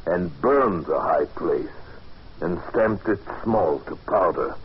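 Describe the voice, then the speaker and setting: An actor playing a grave, resolute adventurer haunted by what he recounts, deliberate and dramatic, in an old radio horror play. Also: deep voice, animated. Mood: solemn. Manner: deliberate, dramatic, halting when moved. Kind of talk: old-time radio narration and acting. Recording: dull, noisy old radio recording.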